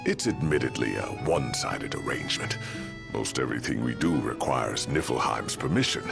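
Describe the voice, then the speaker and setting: An actor playing a shrewd, courteous older man in a game cutscene, with measured, formal, wry phrasing. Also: a bass voice, animated, creaky, loud music behind the voice, heavily acted. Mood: candid, wry. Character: shrewd, gravel-voiced older local man, courteous and knowing. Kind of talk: video game cutscene acting.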